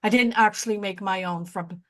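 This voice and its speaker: A woman's voice: mid-range voice, fairly even intonation, normal speed. A woman in her sixties co-hosting a podcast, chatting casually and rambling, often losing her train of thought and joking at her own expense. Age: 60s. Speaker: podcast co-host. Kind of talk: casual chat.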